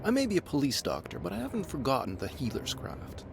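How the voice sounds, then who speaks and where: A fussy, eager police doctor from a period mystery game. His pitch swings around in a very animated way as he explains himself, with faint noise behind. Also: fast talker, vocal fry, exaggerated voice. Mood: fussy, apologetic. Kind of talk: visual novel voice acting.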